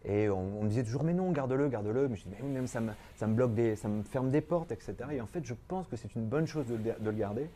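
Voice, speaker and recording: A man's voice: mid-range voice, animated, fast, hardly any pauses, mellow, soft background music. A middle-aged man from France, a stage performer, chatting in an interview, hesitant and repeating his words. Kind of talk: casual interview. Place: TV studio.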